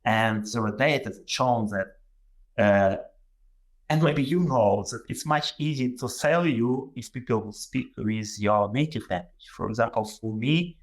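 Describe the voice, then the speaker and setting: A man's voice: medium pitch, unhurried. A man with a Ukrainian accent, a native Ukrainian speaker, giving a webinar presentation over a video call, with many fillers and restarts. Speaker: guest presenter.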